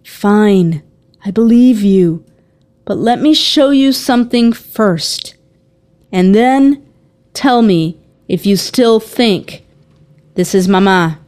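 A woman's voice: mid-range voice, animated, slow pace, long pauses, darkish tone. A woman voicing a younger sister in a story, a worried, skeptical girl who questions bluntly. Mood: quiet, sad.

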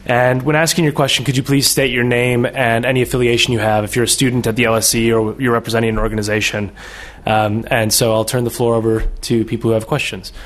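A man chairing a public lecture, politely and briskly calling on the audience and keeping questions on track. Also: mid-range voice, level tone. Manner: polite, brisk, directing the floor. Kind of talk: moderating a question session. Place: lecture hall.

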